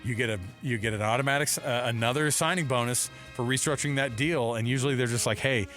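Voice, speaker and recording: An American man co-hosting a sports talk show, casual and slangy, joking and excitable as he gives his commentary. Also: medium pitch, fast, faint music behind. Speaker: sports radio co-host. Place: radio studio.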